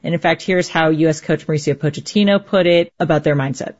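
A woman's voice: low voice, quick pace, a little mellow, lo-fi sound. A woman sports correspondent explaining a match in a conversational way during a radio news interview.

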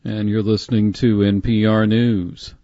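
A male newsreader with a lowish voice, reading headlines in an even, formal cadence. The sound is dry and slightly dull, like broadcast radio. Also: measured pace, no echo. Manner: steady, formal news delivery. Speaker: newscaster. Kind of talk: newscast reading.

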